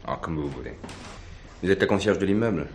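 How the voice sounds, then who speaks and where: An actor playing a composed, probing middle-aged police superintendent in a detective radio play, measured and authoritative. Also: male voice, low-pitched, animated, husky. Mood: calm, probing.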